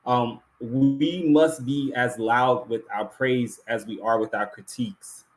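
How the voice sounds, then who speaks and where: A man hosting an awards ceremony, giving a prepared closing speech in an earnest, deliberate way with emphatic repetition. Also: mid-range voice, steady tone, low-quality recording. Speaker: awards show host.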